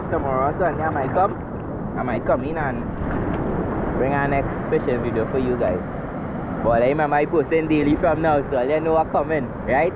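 A man's voice: fairly high pitch, narrow, tinny audio. A young man with a Trinidadian accent, vlogging outdoors on a beach and in a forest, chatting in a laid-back way and often asking "right?". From Trinidad and Tobago. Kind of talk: casual outdoor vlog. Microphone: action camera on a chest mount. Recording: outdoor wind and surf noise.

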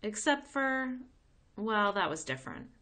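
A woman reading the part of a folksy, gently firm grandmother in a children's storybook. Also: mid-range voice, very animated, unhurried, pitched above the performer's own voice, full character voice. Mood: reminiscing. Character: warm, weary grandmother telling of old times.

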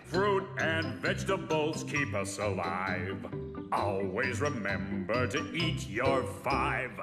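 A man's voice: mid-range voice, animated, takes it slowly, reverberant, long reverb tail, loud music behind the voice. A man voicing a pompous, heroic potato superhero from a cartoon film, declaiming grandly.

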